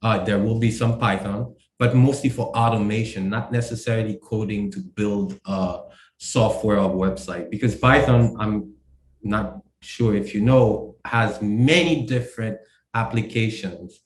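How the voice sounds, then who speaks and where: A man from Haiti, a company co-founder, explaining his work in a casual interview, halting with many fillers and restarts. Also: lowish voice, echoey room, rough recording. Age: adult.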